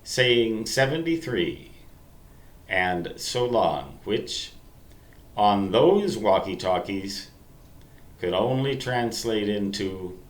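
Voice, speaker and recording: An older man reminisces in a roomy, echoing space. His voice sits on the low side, and he takes his time with a deliberate delivery, stressing key words as he wanders through his story. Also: expressive. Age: 60s. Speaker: amateur radio hobbyist telling reminiscences. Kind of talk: rambling storytelling monologue to listeners. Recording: roomy, echoey sound.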